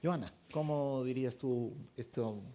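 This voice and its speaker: A man moderating a literary panel, relaxed and conversational, putting questions to the writers. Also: medium pitch, measured pace.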